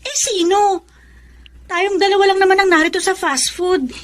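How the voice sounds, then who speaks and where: An actress playing a chatty, inquisitive young best friend in a Filipino radio drama. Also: female voice, extremely high pitch, steady tone, normal speed. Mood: surprised, teasing.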